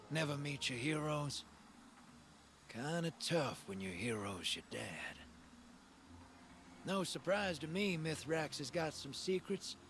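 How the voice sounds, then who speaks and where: Lively, folksy roguish drifter. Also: male voice, medium pitch, slight background noise. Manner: casual, folksy, wry. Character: easygoing, wry roguish drifter man, folksy and knowing. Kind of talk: scripted video game dialogue.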